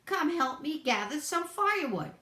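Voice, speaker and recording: A grumpy, scruffy varmint creature, as a woman puts him on for a read-aloud, barking orders in a loud snarl. His voice has a bright, hard edge and sits in a roomy space. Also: high voice, very animated, moderate pace, voice pushed up, full character voice. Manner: snarling, bossy.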